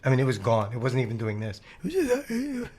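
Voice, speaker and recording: Hoarse male co-host, easygoing chat, quick to laugh. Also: mid-range voice, wildly swooping pitch, a little mellow. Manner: laughs easily, joking. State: hoarse, losing his voice. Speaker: podcast co-host. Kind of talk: casual chat.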